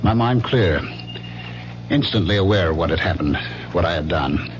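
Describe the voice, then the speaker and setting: An actor in a radio drama playing a haunted man who coldly recounts his crime in slow, deliberate, clipped phrases. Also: sits low, animated, background noise. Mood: cold resolve. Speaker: lead actor and narrator. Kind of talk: radio drama first-person narration. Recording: muffled old radio drama recording, music behind.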